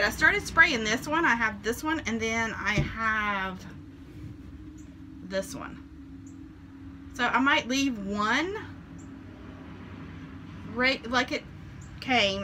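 A woman's voice: on the high side, pushed and bright, a little hiss or hum. A woman, casually showing off flea market finds in a homey, chatty way with frequent ums, recorded on a camera mic in a small room. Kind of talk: casual show-and-tell vlog. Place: small room indoors with shelves.

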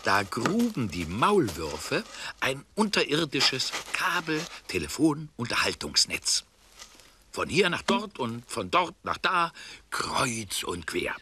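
A man's voice: mid-range voice, huge pitch swings, slow, sharp tone, a little hiss or hum. A man narrating a scripted children's story.

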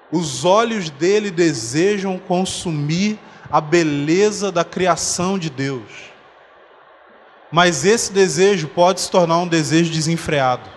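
A man in his thirties preaching a conference sermon on a dark church stage with a handheld mic, conversational, explaining slowly with humorous asides. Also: high voice. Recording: long hall reverb, faint noise.

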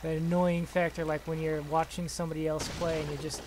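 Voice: medium pitch, little pitch movement, brisk, background noise. A gamer giving casual, playful let's play commentary, chatting to the things and enemies in the game. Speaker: gamer narrating own playthrough.

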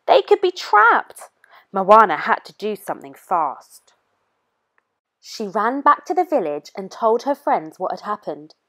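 A woman narrating a children's picture book in an expressive, clearly paced read-aloud manner for young listeners. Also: medium pitch, a little slow. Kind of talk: expressive scripted storybook narration.

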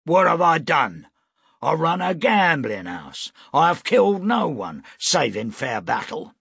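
A man voicing a loud, defiant owner of a gambling house who blusters and mocks. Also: mid-range voice, lively intonation, slow, no room sound, studio quality, heavily acted. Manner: blustering, mocking.

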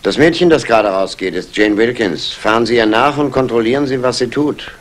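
An actor playing a polite, probing police inspector in a 1960s German radio crime play, formal and questioning. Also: male voice, mid-range voice, fairly quick, close to an everyday voice. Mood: brisk, commanding. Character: courteous, probing male Scotland Yard police inspector. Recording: noisy old radio play recording.

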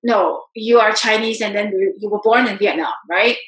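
A woman's voice: mid-range voice, moderate pace. A woman hosting a video call interview, asking probing questions and laughing easily. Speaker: interview host. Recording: roomy echo on a video call.

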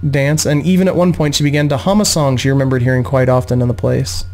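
A man's voice: mid-range voice, little pitch movement, fast, darkish tone. Man narrating a fantasy story. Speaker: reader of a play-by-post game journal. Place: small home room, indoors. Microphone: close desk microphone.

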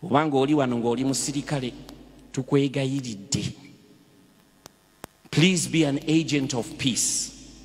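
Impassioned Ugandan priest in echoing church. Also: male voice, mid-range voice, a little slow, long pauses. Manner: impassioned, rhetorical questions, emphatic exhortations. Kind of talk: sermon. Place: large church. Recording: reverberant church hall.

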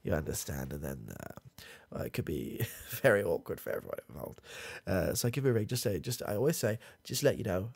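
A man's voice: low-pitched, gravelly, a little mellow, breathy edge, no echo, full character voice. A man doing a muddled, proud British grandad. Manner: rambling, hesitant, full of fillers and restarts. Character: muddled, proud old grandad, clueless with technology. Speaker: ASMR comedy creator. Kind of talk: comedy ASMR roleplay. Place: small dim room with coloured lights. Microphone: close mic, face near camera.

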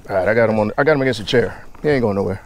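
A man's voice: fairly low pitch, rapid-fire, a little hiss or hum. A young man in a kayak on a lake, casually explaining his bait and fishing choices, recorded outdoors on a body-worn action camera. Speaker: fishing vlogger.

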